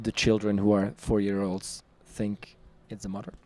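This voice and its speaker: Hesitant, chatty man with a mellow voice. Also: fairly low pitch, monotone, normal speed. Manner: hesitant, chatty, laughs often. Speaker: vlog host, singer-songwriter. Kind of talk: casual conversation.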